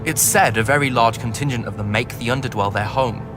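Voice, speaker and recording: A man's voice: mid-range voice, brisk, over music, natural voice. A man playing a pompous, verbose and sarcastic battle mage in a video game cutscene. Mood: alarmed, fretful. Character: glib, pompous, witty male battle mage.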